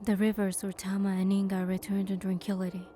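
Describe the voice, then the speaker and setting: A woman's voice: medium pitch, completely flat delivery, brisk, dark tone, slight background noise, close to an everyday voice. A woman voicing a proud goddess in a game, delivering dramatic, solemn scripted narration. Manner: solemn, storytelling. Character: wounded goddess narrating her suffering with pride.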